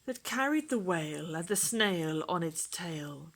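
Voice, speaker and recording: A woman reading a children's rhyming picture book aloud in a put-on, lilting Welsh accent, gentle and rhythmic, often dropping to a soft whisper. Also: fairly low pitch, lively intonation, measured pace, poor audio. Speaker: storytime reader.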